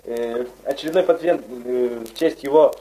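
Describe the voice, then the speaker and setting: A man's voice: mid-range voice, moderate pace, warm tone, rough recording. A man in a comedy skit reading out a mocking verse pamphlet. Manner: reads mock verse aloud. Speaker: guest reader in a comedy skit.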